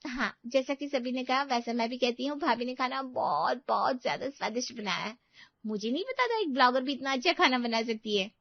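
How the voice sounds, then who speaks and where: A woman acts out a helpful young sister-in-law for a cartoon family tale. She is high-pitched, eager and chirpy. The recording is close and dry, a little dull. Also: fairly quick, dry recording. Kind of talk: animated moral-story dialogue.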